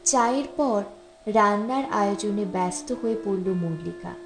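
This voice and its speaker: A woman's voice: medium pitch, normal speed, music in the background. A woman reading a literary story aloud as an audiobook narrator. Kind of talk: expressive literary story narration with acted dialogue. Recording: roomy, echoing voice recording.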